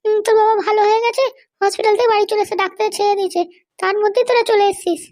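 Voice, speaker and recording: A woman voicing an evasive, placating middle-aged cartoon mother, coaxing with excuses in a pitched-up voice. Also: steady tone, rapid-fire, a little mellow, dry recording, clean studio sound. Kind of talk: comedy cartoon dialogue.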